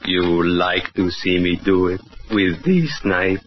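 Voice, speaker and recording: An actor playing a calm, amused Mexican hired killer who speaks broken English with a Mexican Spanish accent, in 1940s radio acting. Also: male voice, deep voice, takes it slowly. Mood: calmly menacing. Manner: broken English, chuckling, matter-of-fact menace. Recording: dull old radio broadcast with faint hiss.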